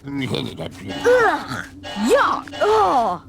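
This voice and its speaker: High, excitable young schoolboy. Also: female voice, drawn-out words, over loud music, heavily acted. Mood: greedy excitement. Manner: exclamatory, friendly. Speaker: voice actor in a video game. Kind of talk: scripted video game cutscene acting.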